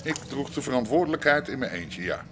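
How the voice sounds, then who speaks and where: An actor playing a boastful, messianic convict who preaches grandly and talks about himself by name in a radio play. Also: male voice, medium pitch, big pitch swings, a little fast, natural voice. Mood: haughty, preaching. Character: burn-scarred, boastful, messianic male prisoner with fascist leanings. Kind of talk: Dutch radio play acting. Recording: noisy radio drama recording.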